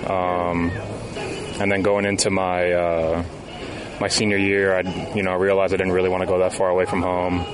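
A young man with a low, steady voice that barely rises or falls. He answers questions haltingly, leaning on ums and you-knows. Clear outdoor noise runs behind him. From South Florida. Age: 20s. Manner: hesitant, many fillers. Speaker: baseball player, guest. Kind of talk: interview answers.

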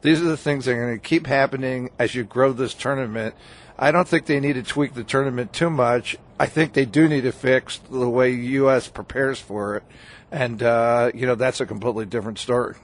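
A man's voice: medium pitch, fairly even intonation, a little fast. A man, a baseball writer, chatting as a guest on a radio show, rambling with many restarts and fillers. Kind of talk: casual radio interview chat.

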